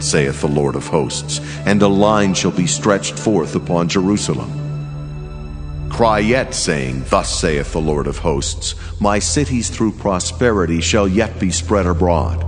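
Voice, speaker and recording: Deep, solemn, commanding angel over music. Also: male voice, animated, unhurried. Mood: stern, solemn. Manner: grave, declamatory. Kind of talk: dramatized scripture reading. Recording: loud music bed behind voice.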